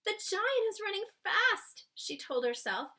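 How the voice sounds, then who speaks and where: A woman reading a children's story aloud in an expressive, suspenseful way, stretching key words for emphasis and chatting to young listeners. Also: extremely high pitch, a little slow. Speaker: children's book read-aloud reader.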